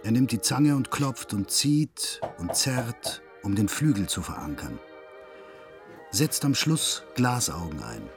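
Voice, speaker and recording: Radio drama casting: detached narrator, mid-pitched, terse and clinical, light music behind. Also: male voice, sing-song, slow pace. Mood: clinical, ominous. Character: detached male narrator of a macabre story.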